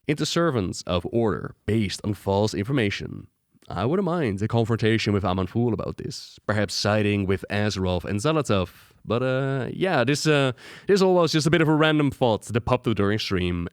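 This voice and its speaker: A man's voice: fairly low pitch, smooth, dry recording. A man hosting a gaming lore video, narrating a script with dramatic rhetorical questions into a close microphone.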